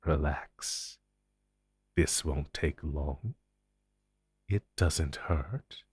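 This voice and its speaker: A man playing an inhuman monster interrogator in an intense audio roleplay, taunting and condescending, with deliberate theatrical pauses. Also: extremely low pitch, breathy edge, no room sound, studio quality, full character voice. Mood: menacing, soothing. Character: menacing male monster interrogator, inhuman, smug and possessive.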